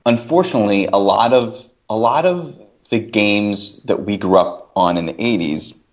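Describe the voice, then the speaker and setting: A man talking as a podcast panelist, thoughtfully working through and qualifying his points in casual discussion. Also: fairly low pitch. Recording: slightly dull, lo-fi podcast audio.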